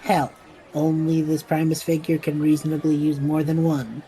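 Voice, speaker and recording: Low, wry, reluctant young magic user, played by a woman. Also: level tone, moderate pace, warm tone, lightly acted, low-quality recording. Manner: casual, sardonic, self-deprecating. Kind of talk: audio drama acting.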